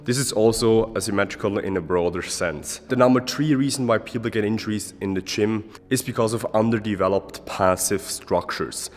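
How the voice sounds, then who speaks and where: A young man, a German-speaking fitness coach from Switzerland, earnestly explaining gym tips to a handheld camera at arm's length in a large indoor gym. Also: on the low side. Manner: earnest, explanatory, adds okay often. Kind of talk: casual vlog advice. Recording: echoey gym hall with music.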